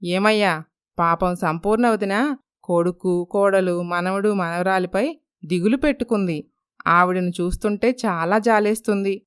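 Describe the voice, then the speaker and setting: A woman playing a sympathetic older village wife in an animated moral story, speaking with pity. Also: mid-range voice, normal speed, smooth, dry recording, clean studio sound, close to an everyday voice. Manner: pitying.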